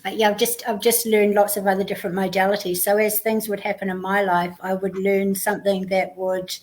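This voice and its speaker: A middle-aged woman from New Zealand, a guest speaking softly and hesitantly, with many fillers. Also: mid-range voice, flat delivery, a little fast. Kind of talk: casual interview talk.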